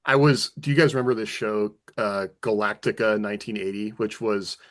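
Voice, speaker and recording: A man's voice: fairly low pitch, steady tone, a little fast. A man co-hosting a podcast in casual, conversational panel chat. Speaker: podcast co-host, author.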